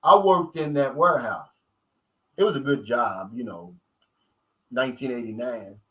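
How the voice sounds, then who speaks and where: Bright-voiced man chatting with viewers. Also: mid-range voice, normal speed. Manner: loose, streetwise talk, chatting with viewers. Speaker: vlogger reading live chat. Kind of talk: casual live-stream chat.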